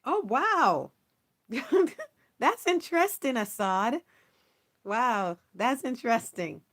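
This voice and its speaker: A woman's voice: fairly high pitch, sing-song, slow. A woman hosting a casual live stream, reading viewers' messages aloud and chatting with them in an encouraging, upbeat way. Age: adult, not young. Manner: warm, encouraging, reads chat aloud, chatty with laughs. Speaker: livestream host. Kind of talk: casual livestream chat with viewers.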